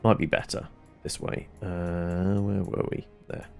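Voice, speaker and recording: A man's voice: low-pitched, level tone, a little slow, creaky. A man giving casual, chatty let's play commentary, rambling as he thinks aloud, with music behind him. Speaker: game streamer, host.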